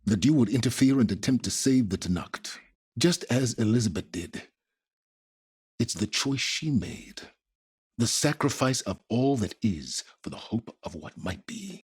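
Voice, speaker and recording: An actor playing a cold, calculating, aloof scholar in a video game, speaking formally with measured, condescending, sardonic phrasing. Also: male voice, mid-range voice, lively intonation, a little mellow, breathy edge. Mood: grave, measured. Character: cold, calculating, aloof male scholar, middle-aged or older. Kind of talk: scripted video game voice acting.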